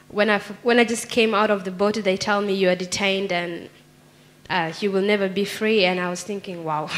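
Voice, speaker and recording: A woman's voice: mid-range voice, fairly bright tone. Young woman from Somalia reading poetry. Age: young adult. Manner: earnest, measured, reading from paper. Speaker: poet. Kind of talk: spoken word poetry reading. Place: stage of a small theatre. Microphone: handheld mic.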